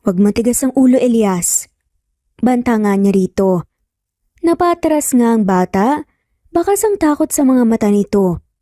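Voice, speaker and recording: A woman's voice: on the high side, dark tone, dry recording, studio quality. A woman with a Filipino accent reading a scripted story as a dramatic narrator.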